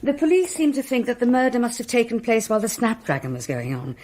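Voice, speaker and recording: An actress playing a composed, genteel middle-aged British village hostess in a radio drama, articulate and reserved. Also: female voice, a little high, sing-song, fast talker, darkish tone. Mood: matter-of-fact.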